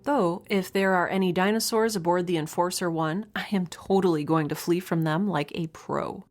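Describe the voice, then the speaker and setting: Actress as young woman space researcher, slightly low voice, wry, rambling, nervous, self-deprecating, audio drama log. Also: fairly even intonation, moderate pace, natural voice. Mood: rueful, joking. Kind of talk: audio drama, spoken log in a casual chatty manner.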